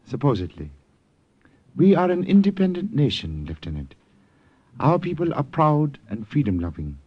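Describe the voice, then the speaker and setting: An actor in an old radio drama playing a courtly, enigmatic Siamese regent, speaking in measured, formal, eloquent phrases. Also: male voice, fairly high pitch, wildly swooping pitch, breathy edge, exaggerated voice. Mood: proud, cryptic. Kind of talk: 1940s-50s radio drama acting. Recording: dull, lo-fi old radio recording.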